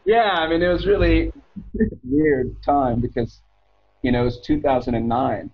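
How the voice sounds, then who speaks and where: Man, thirties, American accent, husky voice, casual hesitant storytelling, slightly dull recording. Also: fairly high pitch, unhurried. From United States. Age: 30s. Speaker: interview guest.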